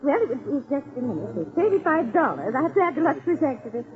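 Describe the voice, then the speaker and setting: A woman's voice: high voice, very animated, rapid-fire. A woman playing an anxious, tender young woman in a 1940s radio drama, with a sweet, hesitant delivery. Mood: polite, helpful. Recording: tinny, noisy 1940s radio recording.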